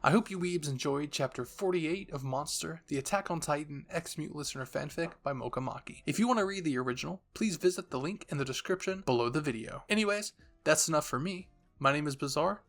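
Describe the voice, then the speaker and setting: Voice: mid-range voice, fairly quick, few pauses, a bit bright, soft background music. Audio roleplay narrator, middle-register voice, scripted storytelling. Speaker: narrator and voice performer. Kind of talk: scripted fan-fiction narration.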